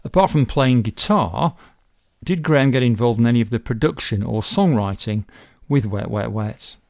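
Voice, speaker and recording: A man's voice: medium pitch, lively intonation, moderate pace, gravelly, a little mellow. A middle-aged man presenting a radio interview, asking friendly, curious questions.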